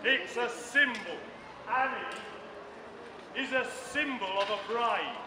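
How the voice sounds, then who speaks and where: A man's voice: extremely high pitch, lively intonation, slow. A man, addressing an outdoor crowd at an event without a megaphone, projecting his voice so everyone can hear. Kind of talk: loud open-air announcing to a crowd. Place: outdoor plaza by a large building. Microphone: far camera in the crowd. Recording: distant echoey outdoor amateur recording with crowd noise.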